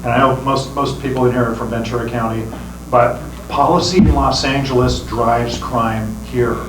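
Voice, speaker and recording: A man's voice: mid-range voice, fairly even intonation, moderate pace. A man who is a sheriff running for re-election, giving an earnest campaign speech to a meeting audience and often repeating words as he starts phrases. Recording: echoey room, distant mic, background noise.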